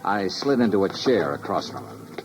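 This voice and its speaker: A man's voice: deep voice, sing-song, moderate pace, poor audio. An American actor playing a hard-boiled private investigator in a 1950s radio drama, terse and pressing as he questions and narrates. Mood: brooding, intent. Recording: old radio recording with music.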